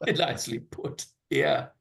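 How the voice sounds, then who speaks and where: A man's voice: mid-range voice, fairly bright tone. A man talking as a panel guest, hesitant and full of fillers and restarts. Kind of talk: casual panel chat.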